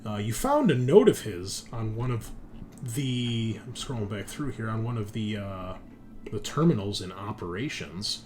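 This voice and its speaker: A man working as game master, giving a casual, halting recap of a tabletop game as he thinks aloud. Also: fairly low pitch, very animated, a little mellow, faint music behind. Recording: clear background noise.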